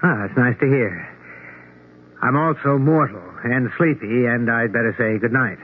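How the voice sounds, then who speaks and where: A man's voice: medium pitch, moderate pace, lightly acted. A man acting a blunt, skeptical friend with a dry, sardonic manner in a 1970s radio drama. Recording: tinny, noisy old radio broadcast.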